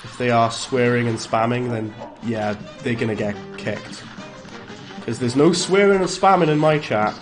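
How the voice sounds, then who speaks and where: A Welsh-accented man talks through a live game stream in a relaxed, chatty way, greeting viewers and reacting out loud as he plays. His voice sits in an ordinary middle pitch. Faint game music runs quietly behind him. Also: moderate pace. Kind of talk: live gameplay commentary and chat replies.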